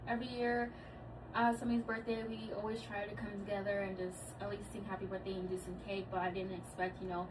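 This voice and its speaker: Relaxed thirty-year-old woman, noisy room. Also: medium pitch, fast talker. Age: 30s. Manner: relaxed, thoughtful, speaks with many fillers. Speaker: guest, daughter. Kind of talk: casual family chat. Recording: distant mic with clear background noise.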